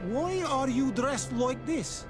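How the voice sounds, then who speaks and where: A man's voice: extremely high pitch, animated, over music, heavily acted. A man playing a troubled native islander merchant in a video game, speaking in an earnest, pleading and formal way. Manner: earnest, halting, foreigner unfamiliar with local ways. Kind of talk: video game dialogue acting.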